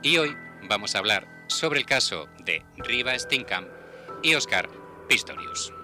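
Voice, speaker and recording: A man's voice: medium pitch, lively intonation, measured pace. A man hosting a true-crime radio show. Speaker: radio host. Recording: music bed behind the voice. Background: background music.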